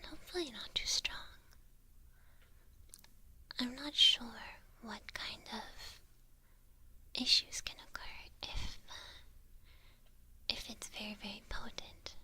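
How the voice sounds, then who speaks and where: A woman acts out a coy witch teaching an apprentice in an ASMR roleplay. She whispers slowly with a sharp, bright edge to her breath. Her manner is halting, teasing and gently patronizing. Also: fairly high pitch, full character voice. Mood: coaxing, secretive.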